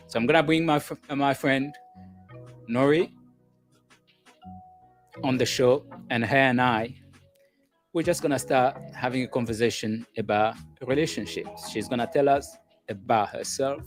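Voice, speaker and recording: A man hosting a live relationship show, welcoming viewers and guests in a slow, deliberate way and often repeating himself. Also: mid-range voice. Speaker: host of a call-in relationship show.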